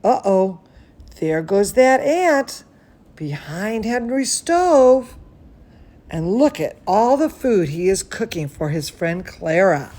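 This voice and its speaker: A woman's voice: medium pitch, very animated, slow pace, warm tone. A woman hosting a children's bedtime story, reading aloud with affectionate, chatty asides and exclamations for young listeners. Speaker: children's bedtime story host and cooking teacher.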